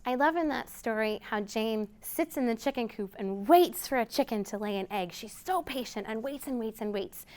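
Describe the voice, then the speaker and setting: A woman's voice: a little high, moderate pace, hardly any pauses, darkish tone. A woman hosting a storytime for young children, reading aloud expressively and prompting them with simple questions. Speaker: children's storytime host at a library.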